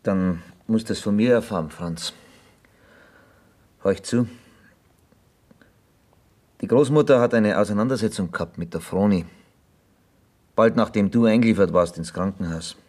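Actor as sober, low-voiced male confidant. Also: darkish tone, lightly acted. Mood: grave, calm. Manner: measured, matter-of-fact. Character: sober, probing male confidant to a farm boy. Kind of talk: German radio drama acting.

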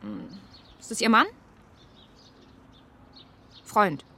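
An actress playing an awkwardly friendly police officer in a naturalistic radio drama, questioning gently and hesitantly. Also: female voice, medium pitch, sing-song, very slow, slight background noise, exaggerated voice. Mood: polite, probing.

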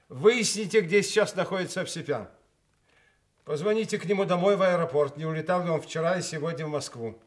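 An animated, authoritative Soviet party boss. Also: male voice, on the high side. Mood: brisk, official. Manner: official, deliberate phrasing with dry irony. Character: brisk male Soviet party secretary, authoritative, ironic. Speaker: actor. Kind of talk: Soviet radio play acting.

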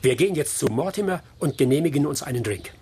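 Ein Schauspieler spielt in einem Hörspiel einen direkten, brummigen Ermittler, der knapp formuliert. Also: male voice, a little high, animated, fast, dark tone, a little hiss or hum. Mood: blunt. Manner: terse, blunt. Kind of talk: German radio play acting.

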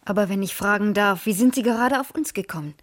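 An actress playing a direct, principled private detective, polite but pointed, in a radio crime play. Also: female voice, medium pitch, fast, natural voice. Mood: curious. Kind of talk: 1980s German radio crime play acting.

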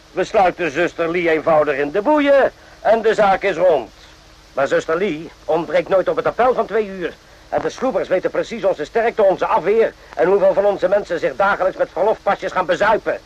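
An actor playing a cynical, sarcastic army sergeant with a blunt, scornful manner in a radio play. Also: male voice, high voice, lively intonation, moderate pace, darkish tone. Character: gruff, cynical, sarcastic male army sergeant, old hand. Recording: dull lo-fi old radio play.

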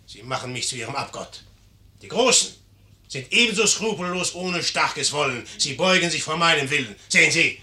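An actor playing a proud, ambitious young Napoleon in an imperious, declamatory manner in a 1950s radio play. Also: male voice, a little high, lively intonation, moderate pace, pushed and bright. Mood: grandiose.

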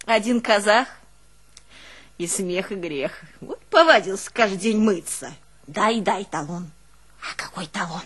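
A woman's voice: medium pitch, expressive, a little slow, a bit bright, slightly rough. An actress playing a garrulous, long-suffering old peasant woman with a rural northern Russian accent, telling her life in a folksy, exclamatory stage monologue. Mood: wry, amused. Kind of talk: theatrical monologue, folksy storytelling.

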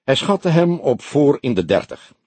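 Husky, expressive male audiobook narrator. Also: mid-range voice, unhurried, dry recording. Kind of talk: scripted literary narration of a crime novel.

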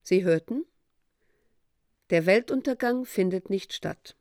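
A woman's voice: mid-range voice, animated, slow, darkish tone, no room sound, clean studio sound. A woman radio announcer reading a scripted closing announcement.

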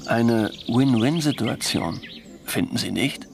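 Creaky-voiced, reclusive arms magnate. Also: male voice, medium pitch, animated, takes it slowly, crowd noise. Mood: smug, guarded. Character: reclusive male arms manufacturer, wary and controlling. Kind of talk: radio play monologue.

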